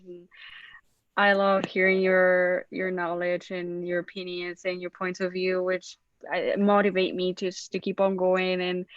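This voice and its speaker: Young spanish-accented woman gushing thanks on a call, low voice, kinda dull dry audio. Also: clear, resonant tone, dry recording. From Spain. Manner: warm and effusive, with many restarts. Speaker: student society officer closing the event. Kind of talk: unscripted closing remarks on a video call.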